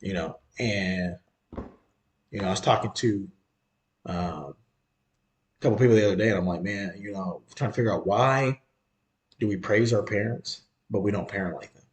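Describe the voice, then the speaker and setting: A man's voice: lowish voice, normal speed, pauses often. A man in his thirties hosting a podcast, talking in a casual, slangy and emphatic way and often repeating himself. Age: 30s. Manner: conversational, emphatic and repetitive, slangy. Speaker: podcast host. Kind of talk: casual monologue.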